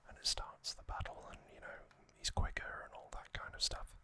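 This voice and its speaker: A man hosting an ASMR video, whispering a casual, opinionated fan recap with frequent pauses and ums. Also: pushed and bright. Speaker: ASMR host.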